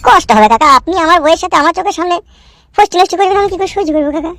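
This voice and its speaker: A man's voice: extremely high pitch, racing, pushed and bright, no echo, full character voice. A man voicing a meek, fretful young village husband in a Bengali cartoon, pleading and deferential, with a rural West Bengal accent. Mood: upset, pleading. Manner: pleading, deferential, stammering when upset. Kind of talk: comic cartoon dialogue. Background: background music.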